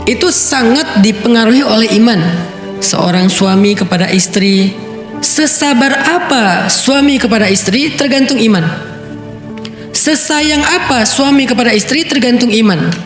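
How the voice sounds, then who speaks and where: A man's voice: very high-pitched, measured pace, fairly bright tone. Casual male preacher, echoey room. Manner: conversational teaching, rhetorical questions, Arabic recitation. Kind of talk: Islamic sermon. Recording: echoey room with faint music.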